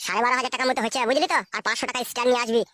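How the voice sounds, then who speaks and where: An actor voicing a crude, pushy, foul-mouthed young man in a comic cartoon, with a pitched-up voice. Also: racing, sharp tone. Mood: angry demanding. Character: crude, pushy, money-minded young male lover.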